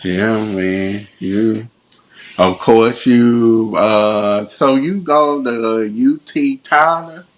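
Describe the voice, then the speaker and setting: Slow-talking low-voiced male host. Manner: slow, laid-back, short reactions. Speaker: podcast host. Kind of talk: casual conversation.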